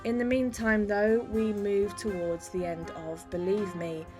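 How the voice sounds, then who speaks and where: A woman's voice: mid-range voice, steady tone, a little slow. Woman host over music. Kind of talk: scripted introduction.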